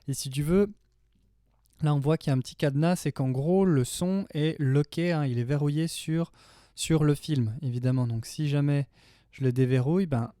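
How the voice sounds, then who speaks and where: A man's voice: fairly high pitch, moderate pace, dark tone, no room sound, studio quality. A man in his thirties giving a casual, chatty, slangy tutorial as a music production tutor. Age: 30s. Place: small home studio indoors.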